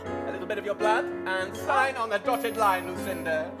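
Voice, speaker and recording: A performer playing a scheming wizard villain in a comic pantomime, with a grand, drawn-out theatrical delivery. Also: mid-range voice, lightly acted. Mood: theatrical, singing. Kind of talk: improvised comic pantomime with singing. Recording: music loud behind the voice. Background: loud musical accompaniment.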